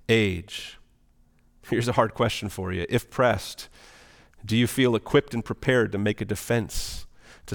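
Male pastor, earnest sermon voice, questions to the congregation, echoing church hall. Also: mid-range voice. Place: church auditorium.

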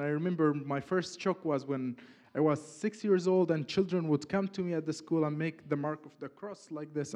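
A man from Gaza with a Palestinian accent and Arabic as his first language, giving a personal testimony talk in earnest, halting English full of restarts. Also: on the high side, a little mellow. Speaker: guest speaker.

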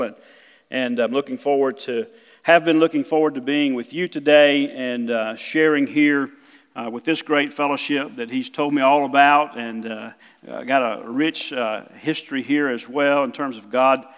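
A middle-aged man with a South Mississippi accent, preaching as a guest missionary pastor, halting with many fillers. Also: mid-range voice, fairly bright tone, clean tone. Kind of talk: sermon. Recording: church hall reverb.

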